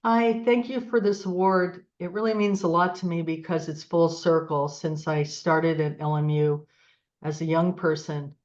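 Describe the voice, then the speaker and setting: A woman's voice: deep voice, fairly even intonation, normal speed, darkish tone, dull audio. A woman giving an earnest short thank-you speech as an award recipient. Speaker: award recipient, law school educator.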